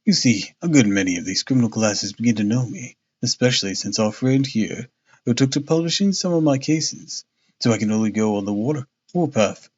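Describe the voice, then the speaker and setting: A man voicing a confident, amused gentleman detective who likes to take command, in a storybook reading. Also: medium pitch, brisk, husky, dull audio, close to an everyday voice.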